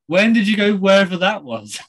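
A man with a British accent co-hosts a comedy podcast. He trades casual banter in a fairly high-pitched male voice. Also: big pitch swings, brisk, fairly bright tone. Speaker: podcast co-host.

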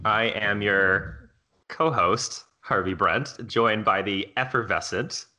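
A man in his late twenties co-hosting a podcast in casual chat, expressive and quick to laugh. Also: lowish voice. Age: 20s. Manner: bright, expressive, laughs easily. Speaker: podcast co-host.

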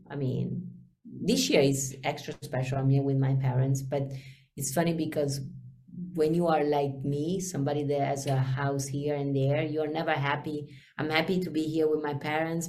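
A woman with a low voice and a strong Italian accent chats as a guest in an interview. Her tone has a gravelly edge, and her English is halting, with many fillers while she searches for the right word. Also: warm tone. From Italy. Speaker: guest singer. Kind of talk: casual interview chat.

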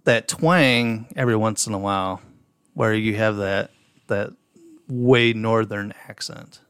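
A man's voice: lowish voice, measured pace, fairly bright tone, smooth, dry recording, clean studio sound. Upper Midwest man, casual podcast host. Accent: Upper Midwest American. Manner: storytelling, reads out messages, profane.

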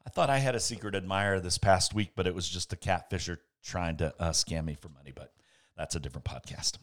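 A man reading a bedtime story aloud as a podcast host, with playful dramatic pauses and casual asides. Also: low-pitched, a bit bright.

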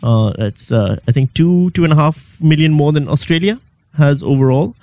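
A man's voice: on the high side, lively intonation, moderate pace, darkish tone, no echo, studio quality. A man in his late thirties from Pakistan, a guest comedian being interviewed, talking in a wry, self-mocking way with lots of ums. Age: 30s.

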